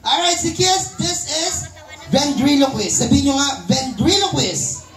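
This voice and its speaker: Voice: high-pitched, animated, measured pace, a bit bright, soft background music. A Filipino ventriloquist hosting a children's puppet show with playful live banter. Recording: echoey venue with crowd noise.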